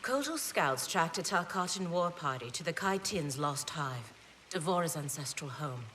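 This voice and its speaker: A woman's voice: deep voice, expressive, moderate pace, fairly bright tone, slight background noise. An actress playing a composed, resolute female warrior adviser in a video game. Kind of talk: cinematic video game acting.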